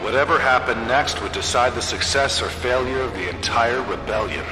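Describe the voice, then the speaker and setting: An actor playing a battle-weary rebel squadron veteran who recounts his war in grave, measured narration for a game. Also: mid-range voice, close to an everyday voice. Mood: tense, grave. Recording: echoing hall reverb, loud music and battle sounds.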